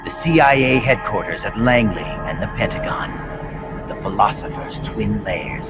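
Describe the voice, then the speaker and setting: An actor with an American accent playing a calm, messianic military commander villain in a video game, speaking in solemn, grandiose, deliberate speeches. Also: male voice, fairly low pitch, lightly acted. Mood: calculating. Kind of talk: dramatic video game cutscene monologue. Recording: muffled game audio under loud music.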